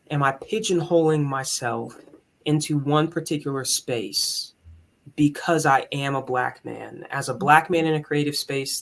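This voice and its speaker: A man with a higher tenor voice, speaking as a musician and conductor in an earnest, candid, reflective way in a conversational interview. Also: fairly bright tone.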